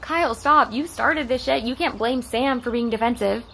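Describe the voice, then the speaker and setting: A woman's voice: a little high, moderate pace, creaky, sharp tone, slight background noise. A woman playing an outspoken, no-nonsense teenage girl with an American accent in a naturalistic audio drama. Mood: exasperated. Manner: blunt, argumentative.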